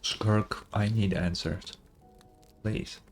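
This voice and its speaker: A man's voice: fairly low pitch, steady tone, extremely slow, soft background music. Casual male game streamer thinking aloud. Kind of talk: casual reaction commentary.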